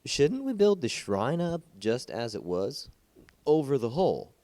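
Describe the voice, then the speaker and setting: Puzzled male villager, read by a male narrator: earnest, emphatic, animated storybook dialogue. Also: medium pitch, a little slow, no room sound, voice pushed up. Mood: concerned, puzzled. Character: concerned, puzzled village men talking things over.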